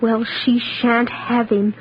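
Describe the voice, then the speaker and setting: A woman's voice: on the high side, flat delivery, slow pace. A woman playing a possessive, cunning young girl with melodramatic, childlike delivery in a 1940s radio drama. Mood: sullen, scheming. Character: possessive, cunning young girl, sweetly false, tantrum-prone. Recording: muffled, hissy 1940s radio recording.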